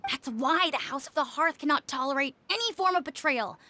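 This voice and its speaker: A small, dutiful child from a video game. The voice is extremely high and bright, swinging expressively while staying earnest and loyal. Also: female voice, lively intonation, brisk. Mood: earnest, grave.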